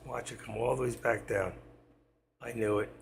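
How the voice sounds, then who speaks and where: A man hosting a live trading stream, talking to viewers while he watches the charts, with quiet asides between his sign-offs. He is losing his voice. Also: mid-range voice, fairly even intonation, moderate pace, creaky, a little hiss or hum. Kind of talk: live market commentary to viewers.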